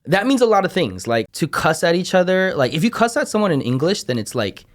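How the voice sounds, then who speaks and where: A man's voice: high-pitched, sing-song, fast. A man co-hosting a podcast, chatting casually and reflecting informally as he explains. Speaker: podcast co-host. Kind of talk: casual conversational discussion.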